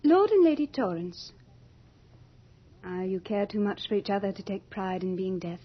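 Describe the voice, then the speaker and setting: An actress playing a young, beautiful female Death in a radio horror drama, theatrical and deliberate, capricious and longing to be mortal. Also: mid-range voice, sing-song, slight background noise, close to an everyday voice. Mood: cool, judging. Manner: theatrical, deliberate, breathy at moments. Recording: dull old radio drama with music.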